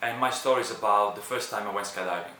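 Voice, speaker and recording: A man tells a personal story haltingly, repeating himself as he looks for words. His voice is low and bright, and the room adds echo. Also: distant mic. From Florence, Italy. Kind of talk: personal story told to camera.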